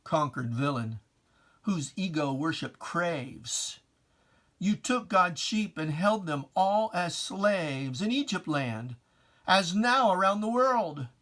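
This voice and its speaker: A man's voice: high-pitched, animated, slow pace, a bit bright. A man giving a dramatic reading of religious verse in an emphatic, declamatory, theatrical manner. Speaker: poetry reader.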